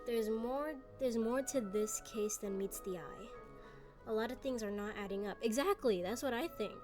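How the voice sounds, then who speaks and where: A woman's voice: on the high side, moderate pace. A woman giving casual gameplay commentary, rambling with lots of fillers and slang. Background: game music and dialogue.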